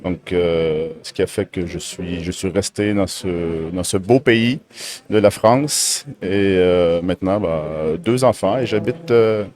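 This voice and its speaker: A man in his thirties with a Québécois accent and a low voice, speaking as a podcast guest. He talks in a hesitant, halting way, often restarting his sentences. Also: darkish tone. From Québec. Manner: hesitant, many fillers and restarts. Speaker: guest, technical director. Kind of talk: casual interview chat.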